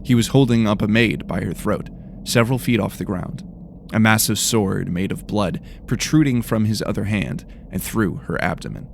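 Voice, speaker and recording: A man's voice: on the low side, creaky, slight background noise. A man narrating a scripted fantasy story in a dramatic storytelling style.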